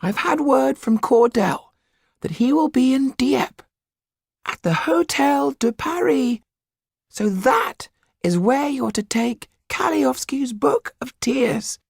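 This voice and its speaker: High, croaky little fellow. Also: male voice, expressive, takes it slowly, no echo, studio quality, exaggerated voice. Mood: grave, instructive. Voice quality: high, croaky, husky squeak.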